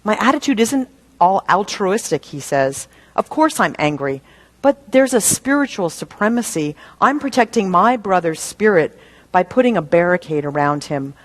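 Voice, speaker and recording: A woman minister delivering a sermon in a measured, deliberate way, using rhetorical questions and repetition for emphasis. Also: mid-range voice, animated. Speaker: minister preaching.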